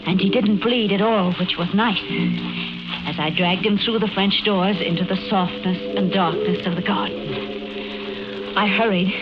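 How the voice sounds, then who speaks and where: An actress playing a genteel, coolly murderous wife in a 1940s radio horror play, speaking with measured, wistful deliberation on a muffled old recording with music behind. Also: female voice, mid-range voice, steady tone, natural voice. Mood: eerily calm. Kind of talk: 1940s radio acting, first-person horror narration.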